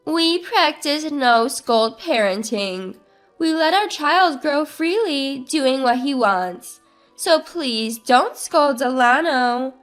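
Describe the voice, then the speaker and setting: A patronizing sister-in-law whose kindness sounds sugary and false, played by a woman narrator. She talks slowly and in a high voice. Faint music sits in the background. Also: animated, fairly bright tone, heavily acted. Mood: preachy. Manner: sugary, condescending. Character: condescending young sister-in-law feigning pity.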